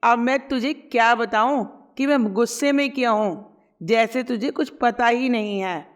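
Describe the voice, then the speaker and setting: A woman's voice: a little high, a little slow, voice pushed up, close to an everyday voice. A woman voicing a stern, protective middle-aged mother-in-law who scolds and commands. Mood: angry.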